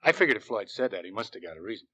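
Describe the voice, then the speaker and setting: A man's voice: on the low side, sing-song, very fast, bright, forceful tone, breathy edge, no room sound, full character voice. An American man playing a shifty army sergeant in a 1940s radio drama, speaking in slangy, hesitant, evasive phrases. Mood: nervous, evasive. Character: shifty, nervous army sergeant dodging questions. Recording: dull, dry 1940s radio recording.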